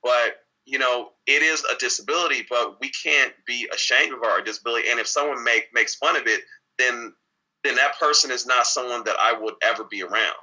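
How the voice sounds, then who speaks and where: A man's voice: medium pitch, brisk, sharp tone, rough recording. A man speaking as an interview guest in a candid conversation, reflective and earnest, often repeating words and restarting sentences. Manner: talks openly with frequent repeated words and restarts.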